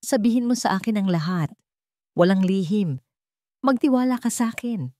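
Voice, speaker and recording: A woman's voice: medium pitch, animated, moderate pace, dark tone, voice pushed up, close to an everyday voice. A woman voicing a hurt but devoted young woman in a romantic audio drama, earnest and pleading.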